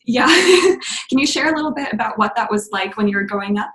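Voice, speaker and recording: A woman's voice: on the high side, animated, a little fast, over a noisy crowd. Upbeat podcast host woman in an echoey room. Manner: upbeat, laughs often. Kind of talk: reading a guest introduction, then casual interview chat. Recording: roomy echo.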